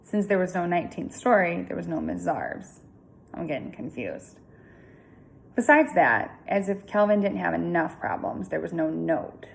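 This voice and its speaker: A woman reading a children's story aloud in an informal, chatty, expressive way with casual asides. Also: lowish voice, moderate pace, creaky, slight background noise, low-quality recording.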